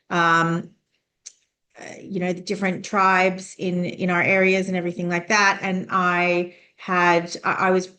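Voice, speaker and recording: A woman's voice: medium pitch, a bit bright. Chatty woman hosting a solo podcast. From United States and Canada. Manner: conversational, many fillers and restarts. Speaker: podcast host, business owner.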